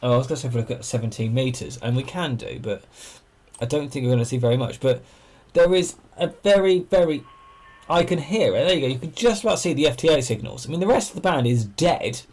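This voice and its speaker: A man's voice: medium pitch, lively intonation, fast talker, a little mellow. Man chatting on a casual livestream. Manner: discursive, thinking aloud. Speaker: amateur radio livestream host. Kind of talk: casual livestream chat, explaining and answering viewers.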